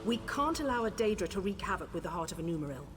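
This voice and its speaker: An actress playing a stern, urgent guardian of magical wards in a fantasy video game, speaking formally and with command. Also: female voice, fairly low pitch, very animated, very fast, music in the background. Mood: urgent, grave.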